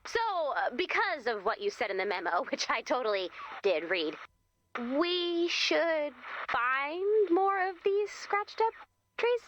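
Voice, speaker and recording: A woman voicing a perky, flustered, quirky young heroine in an expressive, comically dramatic video game style. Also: high voice, slow pace, lo-fi sound, full character voice. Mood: flustered, bluffing. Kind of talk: animated video game voice acting.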